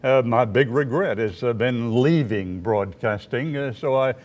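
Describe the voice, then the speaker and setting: A man's voice: mid-range voice, unhurried, a little mellow. An American man in his seventies, a veteran broadcaster, reminiscing in unscripted talk. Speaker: veteran former broadcaster, guest.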